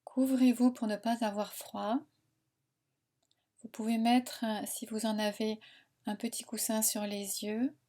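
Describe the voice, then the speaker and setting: A woman guiding a meditation in a soothing, unhurried, instructing manner with long pauses. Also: a little high, level tone, warm tone. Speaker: relaxation and yoga nidra guide. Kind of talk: guided meditation narration.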